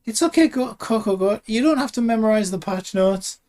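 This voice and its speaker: A man voicing a gentle, polite young woman with a soothing, coaxing manner, in a comic game parody. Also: sits high, lively intonation, brisk, voice pushed up.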